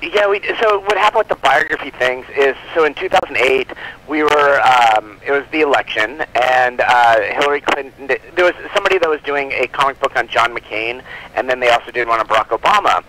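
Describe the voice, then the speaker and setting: A man's voice: mid-range voice, lively intonation, a little fast, sharp tone. A man being interviewed as a guest, chatting casually in a rambling way with plenty of filler words like "like" and "you know.". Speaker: comic book publisher, interview guest. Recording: noisy phone call from guest.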